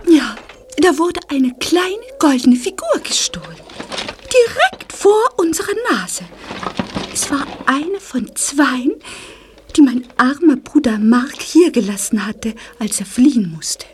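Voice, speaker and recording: An actress playing a genteel, wistful older great-aunt who owns an estate, speaking theatrically in an audio drama. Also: female voice, sits high, sing-song, slow pace, slight background noise, exaggerated voice. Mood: wistful, grieving. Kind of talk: German audio drama acting.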